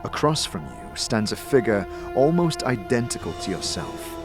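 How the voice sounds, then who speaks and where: A man narrating a fantasy audio drama as a storyteller, in a deliberate, theatrical scripted style. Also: lowish voice, very animated. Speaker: narrator and game master. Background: background music.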